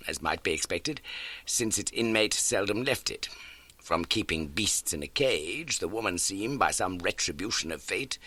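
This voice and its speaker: A man's voice: deep voice, sharp tone. A man reading scripted literary narration as an audiobook narrator.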